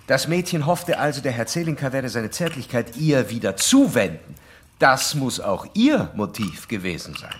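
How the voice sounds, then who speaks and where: Actor as an insistent, formal psychoanalyst, animated delivery. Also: male voice, medium pitch, normal speed, a little hiss or hum, natural voice. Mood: probing. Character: male psychoanalyst doctor, insistent, certain, lecturing his young patient. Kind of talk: German radio play acting, formal old-fashioned diction.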